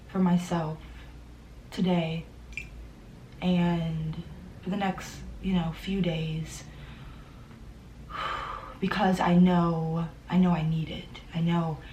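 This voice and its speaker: A young woman talks in a low, mellow voice, soft and hesitant, often sinking to a whisper. She sounds grieving and close to tears, with pauses and fillers running through her speech. Faint noise hums in the background. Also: low-quality recording. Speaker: vlogger. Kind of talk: emotional personal vlog.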